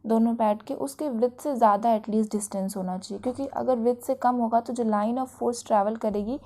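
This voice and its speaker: High, mellow-voiced Indian woman lecturing. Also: steady tone, normal speed. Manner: didactic, with frequent check-in tags. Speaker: physiotherapy lecturer. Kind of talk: explanatory video lecture.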